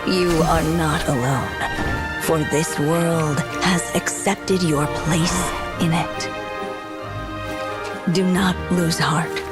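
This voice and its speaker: A woman playing a gentle, motherly storyteller in a video game, speaking tenderly in a solemn, measured storytelling style. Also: low-pitched, steady tone. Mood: tender, hopeful. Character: gentle motherly storyteller bidding her beloved creation farewell. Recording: music behind the voice.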